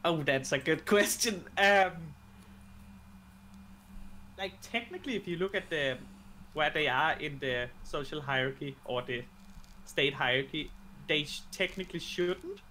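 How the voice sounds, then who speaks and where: A man's voice: on the high side, animated, a little slow, sharp tone, a little hiss or hum, poor audio. A man from Denmark with a Danish accent, a guest in a casual chat who hesitates and restarts his sentences.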